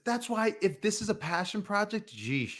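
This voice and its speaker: A man's voice: high-pitched, sing-song, normal speed, very noisy. A man co-hosting a wrestling podcast with joking, slangy banter, repeating phrases for emphasis. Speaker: co-host of a pro wrestling podcast; pro wrestler.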